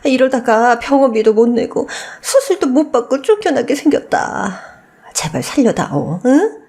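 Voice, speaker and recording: A woman voicing a shameless, scornful old mother-in-law who wheedles and pleads with emphatic, drawn-out words in a radio story. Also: a little high, sing-song, mellow, voice pushed up. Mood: desperate pleading. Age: older.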